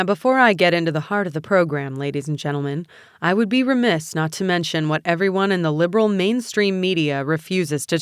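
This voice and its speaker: A woman's voice: deep voice, smooth, no echo, studio quality, close to an everyday voice. A woman voicing an indignant conservative political broadcaster, ranting with scornful emphasis in a story.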